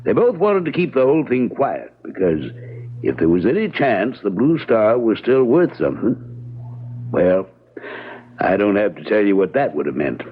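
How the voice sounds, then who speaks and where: An actor playing a reserved, evasive American attorney in old-time radio drama style, hedging in a measured, matter-of-fact way. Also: male voice, fairly low pitch, sing-song, husky. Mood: explaining. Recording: tinny, narrow-band vintage radio broadcast.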